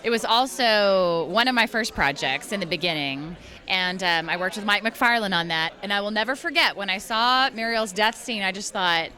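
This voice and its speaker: Woman, bright tone, bubbly, chatty, laughs easily, convention interview. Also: mid-range voice, moderate pace. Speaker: voice actress and singer.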